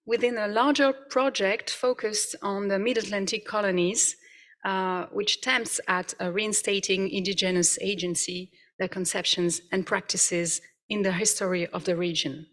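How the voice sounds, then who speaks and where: Woman, academic talk, careful delivery, filler-heavy, mid pitch, long reverb from the hall. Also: fairly bright tone. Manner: careful reading with frequent uh fillers. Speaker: conference speaker. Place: conference hall.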